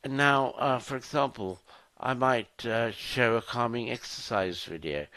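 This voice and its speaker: A man giving a software demo walkthrough, explaining in short, halting phrases as a presenter. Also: mid-range voice, no echo. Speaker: solution architect presenter.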